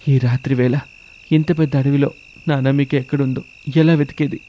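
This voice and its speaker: A man's voice: medium pitch, fairly even intonation, moderate pace, warm tone, slight background noise, pitched above the performer's own voice, close to an everyday voice. A man playing a worried, devoted young husband talking earnestly to himself in a dramatised story. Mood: worried, resolute.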